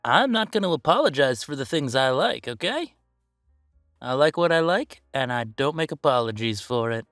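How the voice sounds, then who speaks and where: Animated, chatty werewolf boyfriend played by a man. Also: mid-range voice, normal speed, no echo, clean studio sound, soft background music. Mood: playfully defensive. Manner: chatty, teasing, mock-defensive, emphatic. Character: playful, easygoing young male werewolf boyfriend. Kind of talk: casual boyfriend roleplay audio, one-sided conversation.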